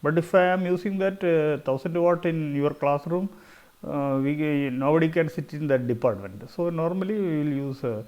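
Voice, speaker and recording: A man's voice: fairly high pitch, normal speed, a little mellow. A middle-aged man with a South Indian Malayali accent explains slides as a lecturer, often repeating phrases for emphasis. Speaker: engineering lecturer.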